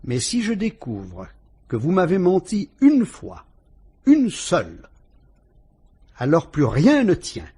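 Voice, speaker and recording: An actor with a French accent playing a calm, shrewd police inspector who politely and ironically probes a suspect in a vintage radio drama. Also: male voice, high-pitched, slightly rough, exaggerated voice. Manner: measured, probing, politely ironic.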